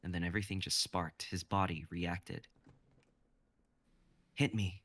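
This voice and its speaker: A man narrating a script and its stage directions in an even, descriptive way. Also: deep voice, moderate pace. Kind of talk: reading a script aloud.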